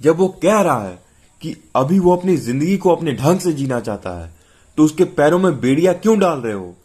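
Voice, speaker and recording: A man's voice: mid-range voice, unhurried, darkish tone, lightly acted. A man voicing a worried, protective mother and defiant wife, pleading and reproachful in a narrated story. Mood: indignant.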